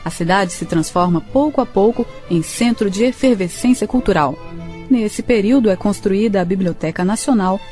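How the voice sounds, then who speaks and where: A woman's voice: mid-range voice, moderate pace, darkish tone. A woman with a Brazilian accent reading scripted historical narration for a radio drama. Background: music.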